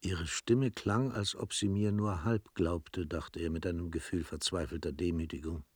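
A very low male voice delivers scripted literary narration for a radio play. The delivery is measured and formal, and the sound is dry and close. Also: steady tone, slightly rough, dry recording.

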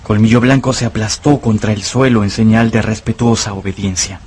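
A man's voice: lowish voice, steady tone, brisk, darkish tone, husky. A man giving literary storytelling narration for a radio adaptation of a novel. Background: music.

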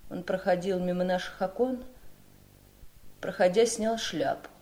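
An actress in a radio drama playing a wistful, nervous gentlewoman who rambles nostalgically in a girlish manner. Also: lowish voice, steady tone, a little slow, natural voice. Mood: wistful remembering. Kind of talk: Soviet radio drama acting.

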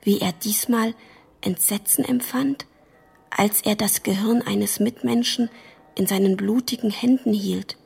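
Very husky, creaky, whispering woman. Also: medium pitch, steady tone, measured pace, full character voice. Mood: hushed horror. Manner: hushed, intense. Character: horrified, fascinated woman recounting a grisly tale. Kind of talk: radio drama narration.

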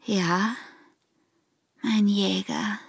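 Husky, taunting young Austrian peasant wife. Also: female voice, mid-range voice, steady tone, extremely slow, dry recording, clean studio sound, full character voice. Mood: provocative. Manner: mocking, provocative. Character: young defiant, teasing peasant wife, sensual and scornful. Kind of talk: radio drama acting in rural dialect.

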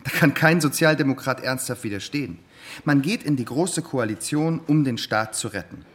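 A male actor plays an argumentative man in radio drama dialogue. His mid-pitched voice moves in lively swings as he makes short, pointed statements. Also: normal speed, natural voice. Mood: pointed explaining. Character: argumentative man explaining party politics.

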